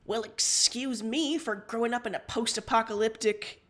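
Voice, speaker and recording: A woman's voice: a little high, steady tone, normal speed, close to an everyday voice. A woman voicing a stubborn, pretentious man who argues defensively in an amateur story reading. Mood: indignant.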